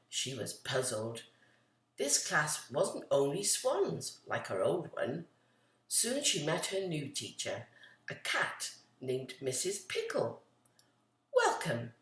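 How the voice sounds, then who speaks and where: An echoey recording, a little far from the mic, of a woman reading a children's story. Her delivery is deliberate and expressive, with lively swings in pitch. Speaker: storyteller, charity patron. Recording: roomy, echoey, distant from the mic.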